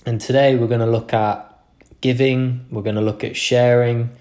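A man's voice: medium pitch, flat delivery, moderate pace, a little mellow. A young man hosting a podcast, talking through a casual motivational monologue in an earnest, rambling way with frequent restarts. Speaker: podcast host.

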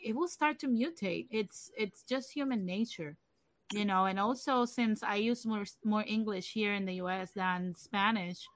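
A woman's voice: mid-range voice, a little slow, no room sound. A woman from Puerto Rico hosting a casual livestream chat, talking in a chatty way with many fillers. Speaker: livestream host.

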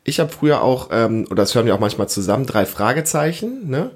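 A man around his thirties or forties hosting a casual family podcast, explaining things and gently prompting with questions. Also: mid-range voice, sing-song, fast. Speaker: podcast host, father interviewing his child.

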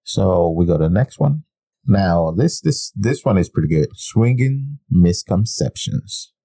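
A man's voice: low-pitched, expressive, unhurried, dark tone, dry recording. A man co-hosting a podcast in casual chat, laughing often and restarting his sentences as he reads items aloud. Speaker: podcast co-host.

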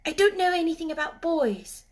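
A high, girlish storybook voice put on by a woman narrator. She is a shy but eager child. Her delivery is earnest and full of questioning. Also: animated, moderate pace. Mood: anxious, intense.

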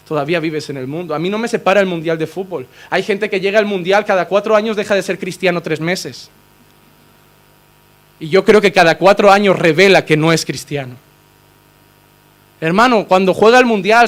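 Impassioned high-voiced male preacher. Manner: impassioned preaching, swings between shouting and low quiet asides. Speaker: pastor. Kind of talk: sermon.

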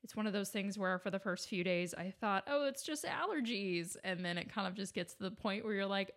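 A woman's voice: medium pitch, animated, brisk. Chatty woman hosting a podcast, with a cold. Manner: chatty, frequent "like" and "right?". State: slightly congested with a cold. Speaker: podcast host. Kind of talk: casual solo podcast ramble.

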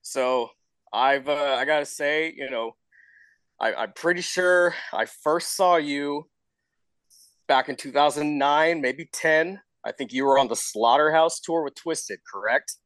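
A man's voice: a little high, unhurried, a bit bright, dry recording. An American man hosting a podcast, casually promoting events and chatting with guests. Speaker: podcast host.

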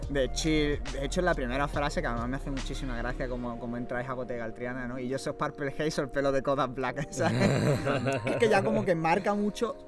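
A man's voice: mid-range voice, brisk, over music. A man from Málaga with an Andalusian accent, interviewing in a casual, slangy, rambling and enthusiastic chat. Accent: Andalusian Spanish. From Málaga, southern Spain. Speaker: podcast interviewer.

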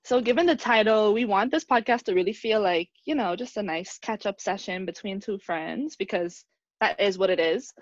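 A young woman in her twenties co-hosts a podcast in a relaxed, laughing way. Her voice sits at a middle pitch and sounds slightly dull and lo-fi. It is dry, with no room echo. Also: moderate pace. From Bahamas. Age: 20s. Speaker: podcast co-host. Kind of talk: casual chat.

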